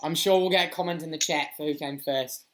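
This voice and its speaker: A man's voice: fairly high pitch, steady tone, fast, fairly bright tone, a little hiss or hum. A man co-hosting a quiz livestream, cheering and repeating each team name after it is announced. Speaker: quiz co-host.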